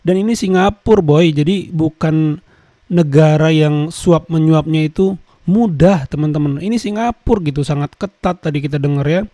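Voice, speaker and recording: A man with a fairly high but mellow voice comments to his viewers. He repeats his points with emphasis and keeps calling out to his audience, recorded dry and close. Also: normal speed, no room sound. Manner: emphatic, repeats phrases, addresses viewers often. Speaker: host.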